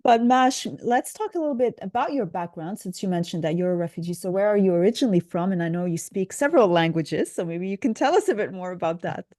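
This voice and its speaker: A woman interviewer with an average-pitched voice. She asks friendly, prompting questions and invites her guest to say more. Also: expressive, quick pace, hardly any pauses, mellow.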